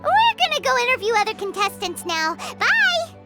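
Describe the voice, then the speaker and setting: Tiny fairy game companion, very high squeaky childlike voice, excitable, nosy, blurting, background music. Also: female voice, moderate pace, exaggerated voice. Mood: flustered. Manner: speaks of herself in third person, exclaiming. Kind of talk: video game character acting. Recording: music behind the voice. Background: clear background music.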